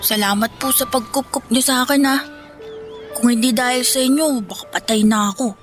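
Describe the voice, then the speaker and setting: A woman's voice: a little high, brisk, music in the background, lightly acted. A woman with a Filipino accent playing an earnest, polite orphaned runaway boy in a radio drama, with a childlike voice. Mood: grateful, sorrowful. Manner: polite, deferential.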